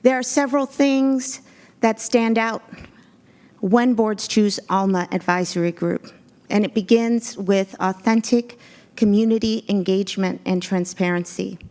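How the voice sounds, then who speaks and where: A woman whose first language was Spanish gives a formal presentation in a board meeting room. She speaks in a measured, earnest way, with a mid-range voice that is neither high nor low. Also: steady tone, darkish tone. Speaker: executive search consultant presenting to a school board.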